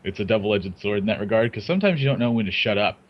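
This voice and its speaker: A man's voice: on the low side, animated, fast talker, highs cut off. A talkative man, a guest who both plays and casts, chatting casually and laughing often. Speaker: guest, player and caster. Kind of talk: casual podcast chat.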